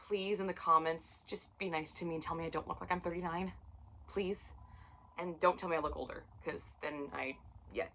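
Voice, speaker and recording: Thirty-something woman chatting about books from her floor, swears a lot, pokes fun at herself, sharp bright voice. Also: on the low side, fairly even intonation, brisk, slight background noise, poor audio. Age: 30s. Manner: chatty, profane, self-mocking. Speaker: book vlogger. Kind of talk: casual chat to camera. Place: at home, seated on the floor.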